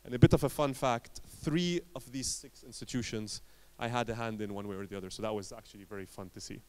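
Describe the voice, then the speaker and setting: A man from Lebanon giving a conference talk as an entrepreneur, telling his story in short emphatic phrases with dramatic pauses. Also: mid-range voice, a little mellow.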